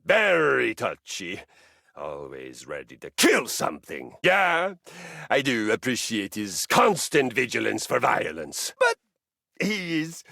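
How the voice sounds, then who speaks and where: An actor playing a boastful, violence-loving treadmill in a video game, with a pompous, theatrical delivery. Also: male voice, medium pitch, creaky, pushed and bright, slightly rough, dry recording, clean studio sound, heavily acted. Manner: pompous, grandiloquent, bombastic. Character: boastful Norwegian treadmill, honor-bound violence-loving warrior. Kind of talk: theatrical game character acting.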